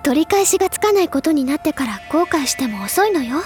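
An actress playing an earnest, caring high school senior in an audio drama, gentle in manner yet insistent and determined. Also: female voice, big pitch swings, fast, faint music behind. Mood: serious, resolute.

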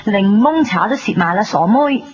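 A woman's voice: medium pitch, expressive, normal speed, over music, natural voice. An actress playing a brash, blunt, gossipy young woman who teases her friend in a Cantonese radio drama. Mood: teasing. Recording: dull band-limited radio recording.

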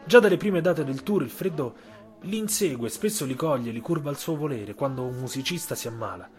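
A man with a slightly husky voice narrating a literary audio story for radio, measured, with dramatic pauses and emphasis. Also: medium pitch, lively intonation, soft background music.

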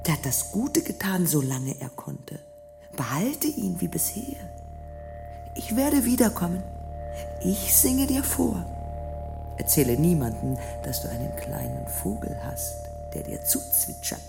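A solemn female storyteller in an art radio play. Her voice is slightly low and gravelly, and she moves slowly through wide swings of pitch over prominent music. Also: big pitch swings, heavily acted. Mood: solemn, dramatic. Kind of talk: experimental radio play narration.

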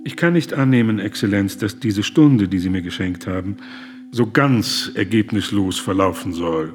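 A man's voice: fairly low pitch, normal speed, lightly acted. An actor in a radio drama playing an impassioned German humanitarian who pleads earnestly and formally with a man in power. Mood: strained, restrained.

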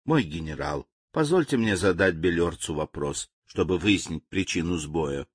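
A man voicing a calm, deferential servant who answers respectfully. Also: sits low, normal speed, husky, no room sound, clean studio sound, pitched below the performer's own voice.

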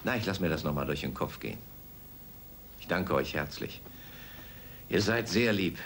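A man playing an earnest, courteous middle-aged scientist in an audio drama, speaking in a measured, formal way. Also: low-pitched, expressive, a bit bright, slight background noise. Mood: kindly, grateful. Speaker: actor in a German children's audio drama. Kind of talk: radio play acting.

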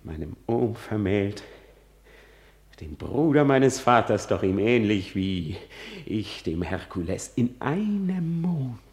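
An actor playing a grieving, bitter young prince in a classical radio drama, with deliberate declamation that moves between hushed and forceful. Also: male voice, on the low side, big pitch swings, heavily acted. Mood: anguished, disgusted. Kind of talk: classical German stage-verse radio drama acting.

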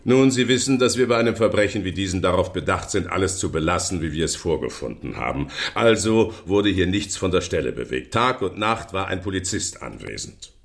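Cocky police inspector, lowish pitch with big swoops, chatty, teasing, German radio play. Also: male voice, lively intonation, fairly quick, gravelly, few pauses. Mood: confiding. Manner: smug, teasing, explanatory. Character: self-assured, chatty male police inspector. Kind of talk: German radio drama acting.

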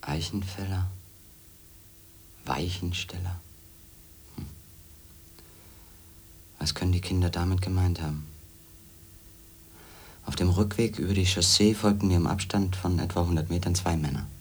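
An actor playing a brooding investigator who narrates soberly in the first person in a radio drama, measured and husky. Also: male voice, low voice, steady tone, a little hiss or hum. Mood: puzzled.

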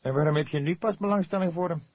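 A man's voice: a little high, quick pace, a little mellow, dry recording, pitched above the performer's own voice, natural voice. A man voicing a surly, scornful young police detective in an audiobook, snappish and incredulous. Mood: sceptical. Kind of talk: audiobook character dialogue.

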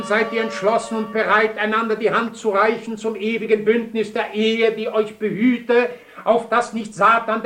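A solemn priest in a radio drama. His voice is high for a man and rings out ceremonially. A strong church-like echo surrounds each stern, measured phrase. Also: bright, forceful tone. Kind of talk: radio drama, liturgical declamation. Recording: echoing church-like acoustic.

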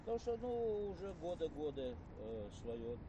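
A man's voice: fairly high pitch, big pitch swings, moderate pace, dull audio. An older man, a retired university lecturer, chatting casually and hesitantly with many filler sounds outdoors. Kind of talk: casual conversation. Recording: distant mic, loud outdoor noise.

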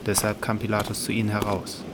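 A man narrating a scripted Bible audio drama in a measured, sober way. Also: lowish voice, completely flat delivery, very noisy.

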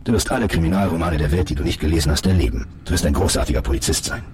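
An actor with a standard German accent playing a calm, matter-of-fact middle-aged police colleague in a radio drama, reassuring and direct as he explains things. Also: a bass voice, very noisy. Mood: earnest, persuasive. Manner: measured wording, reassuring, direct.

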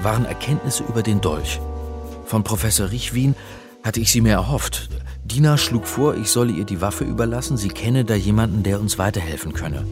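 A man acting as an uneasy amateur detective in a radio play. His voice sits fairly low and sounds hesitant, with clearly audible music behind it. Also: close to an everyday voice. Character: male doctor, uneasy amateur sleuth narrating his adventure. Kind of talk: German radio drama narration and dialogue.

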